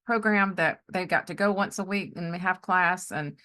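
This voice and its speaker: A middle-aged woman hosting a podcast in a casual, animated chat, often breaking into easy laughter. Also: fairly low pitch, moderate pace. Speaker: podcast host.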